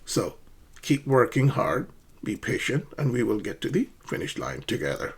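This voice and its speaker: Guy teaching english, husky voice, bounces around in pitch a lot, keeps asking right?. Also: very animated, moderate pace. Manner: patient, encouraging, often checks with "right?"; acts out sample questions with rising intonation. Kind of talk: ESL lesson.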